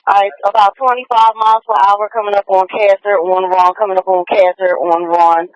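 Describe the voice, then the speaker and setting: A man's voice: sits high, flat delivery, fairly quick, no room sound. A man working as a police dispatcher, relaying pursuit updates and orders over the radio and repeating them. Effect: police radio transmission. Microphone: radio dispatch microphone heard over scanner. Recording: dull narrow-band police scanner radio.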